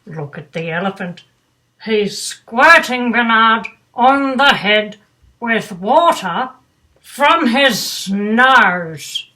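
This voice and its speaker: A woman's voice: a little high, lively intonation, drawn-out words, pushed and bright, low-quality recording. An older woman reading a children's bedtime story aloud with doting, grandmotherly asides. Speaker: storybook reader.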